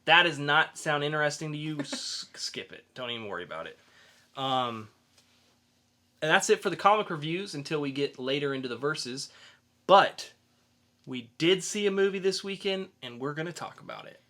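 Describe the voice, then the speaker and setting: Guy with a bright forceful voice doing a casual podcast review, kinda rambly, swears a bit. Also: fairly high pitch, expressive, normal speed. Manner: informal, swearing, rambling plot retelling. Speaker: podcast host and comic reviewer.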